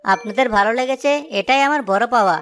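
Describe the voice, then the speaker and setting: Woman as a cartoon market stallholder, raised pitch, music clearly underneath. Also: quick pace, close to an everyday voice. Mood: humble, grateful. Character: village samosa sellers, one humble, one jealous. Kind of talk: cartoon story dialogue.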